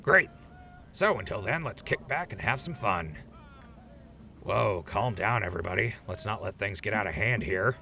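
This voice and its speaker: Guy doing a laid-back joker radio leader, lowish crackly voice but bright, upbeat, audio drama. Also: lively intonation, moderate pace, gravelly, exaggerated voice. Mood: breezy. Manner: glib, casual, upbeat. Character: easygoing, wisecracking male radio show leader.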